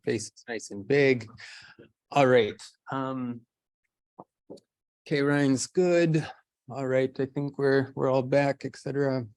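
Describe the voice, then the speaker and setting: A man with a mid-pitched voice hosts an academic session in a casual, improvised style. His phrasing is loose and rambling, and the sound is dry with little room in it. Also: steady tone, slow pace, long pauses, a little mellow, clear, resonant tone, dry recording. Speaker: summer school host introducing a speaker. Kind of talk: casual, off-the-cuff introduction.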